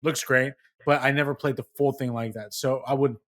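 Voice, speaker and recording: A man hosting a casual gaming podcast, steering the talk with questions in an animated way. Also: mid-range voice, fairly quick. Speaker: podcast host.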